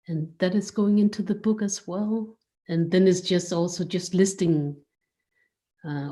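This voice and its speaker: Slow, low-voiced, mellow woman thinking aloud. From Denmark. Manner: hesitant, thinking aloud with many pauses. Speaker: interview guest, art space director. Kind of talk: casual spoken interview answers.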